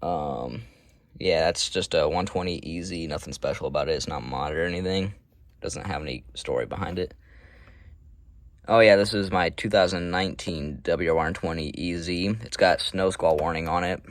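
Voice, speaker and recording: Low-pitched man, casual and offhand. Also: normal speed, no echo. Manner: offhand, matter-of-fact, rambling asides. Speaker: hobby collector presenting a radio collection. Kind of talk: casual unscripted show-and-tell.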